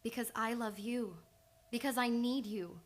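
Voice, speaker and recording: A woman's voice: fairly high pitch, unhurried, natural voice. A woman playing an earnest, insistent psychoanalyst in old time radio drama, urgent and probing.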